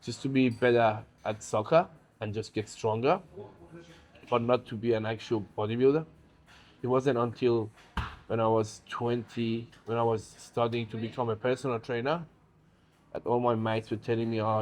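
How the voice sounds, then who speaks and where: A man's voice: mid-range voice, darkish tone. A young man from Iran, a native Farsi speaker, chatting casually in an interview about his life. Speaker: bodybuilder.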